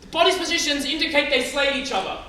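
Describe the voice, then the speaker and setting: Urgent war messenger, female stage actor, forceful and high, far from mic in an echoing hall. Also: fast talker. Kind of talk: stage tragedy acting. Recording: very echoey hall, distant mic.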